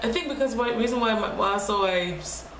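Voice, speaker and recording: A young woman speaking quietly in a low, deep voice, talking haltingly and restarting her words often in a casual personal vlog at home. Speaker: vlogger. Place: home room. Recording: echoey room with faint noise.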